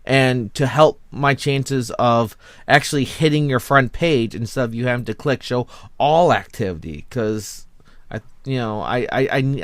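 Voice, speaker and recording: A man's voice: medium pitch, fairly bright tone, dry recording. Casual male host, dry close sound. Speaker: podcast host. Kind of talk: casual panel discussion.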